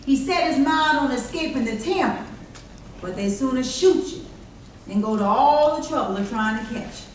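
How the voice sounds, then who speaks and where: A woman narrating a folk tale on stage in a measured, dramatic way with emphatic pauses. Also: high-pitched. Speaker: storyteller in readers theater. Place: large echoey chapel during a live performance. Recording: very echoey hall, distant mic, faint noise.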